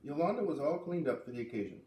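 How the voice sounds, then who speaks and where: A man's voice: on the high side, sing-song, quick pace, mellow. A man hosting a read-aloud, narrating a children's book. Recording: echoey room, distant mic, faint noise.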